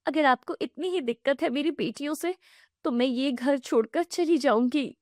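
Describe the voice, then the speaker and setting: A woman voicing a gentle widowed young mother who pleads earnestly. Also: high-pitched, moderate pace, no echo, clean studio sound, natural voice. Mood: pleading.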